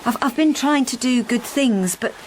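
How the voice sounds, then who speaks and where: A woman's voice: high voice, moderate pace, a little mellow, slight background noise, lightly acted, rough recording. A woman playing a timid, anxious wife in a radio drama, speaking hesitantly with stammering restarts, both in dialogue and intimate narration. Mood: flustered, hesitant. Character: anxious, timid wife who has turned invisible.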